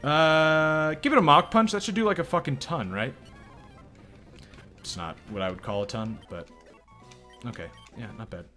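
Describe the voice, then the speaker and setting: A man streaming a video game, chatting loosely and swearing often as he answers his viewers. His voice is bright and forward, with faint game music under it. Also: on the high side, moderate pace, pauses often. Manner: profane, rambling, reacting to chat. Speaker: let's play streamer. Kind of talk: casual gameplay commentary.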